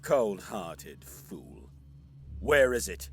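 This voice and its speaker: An actor playing an arrogant, hot-tempered alien warrior lord in a science fiction audio drama, with a haughty, theatrical manner. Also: male voice, fairly low pitch, big pitch swings, extremely slow, a little hiss or hum, full character voice. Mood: angry.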